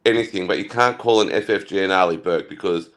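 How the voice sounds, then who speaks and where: A man's voice: low-pitched, quick pace, fairly bright tone. A man who served in the navy, bantering bluntly and crudely on a casual call, teasing his friends without mercy. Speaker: guest, navy veteran.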